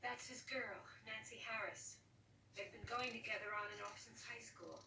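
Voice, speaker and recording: A woman's voice: fairly high pitch, normal speed, creaky, pushed and bright. An actress playing an anxious, grieving middle-aged mother in a 1960s TV crime drama, speaking earnestly. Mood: wistful, earnest. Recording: roomy, distant, noisy television soundtrack.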